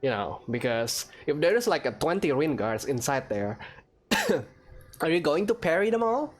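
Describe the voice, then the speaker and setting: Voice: medium pitch, big pitch swings, moderate pace. Casual game commentator with music behind. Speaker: gaming let's player. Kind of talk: casual reaction commentary over gameplay.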